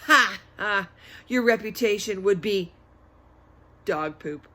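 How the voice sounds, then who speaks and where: A woman's voice: mid-range voice, lively intonation, slow, bright, forceful tone. A woman voicing a dramatic, bargaining young girl in a children's book, pleading and emphatic. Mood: warning. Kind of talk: children's book read-aloud.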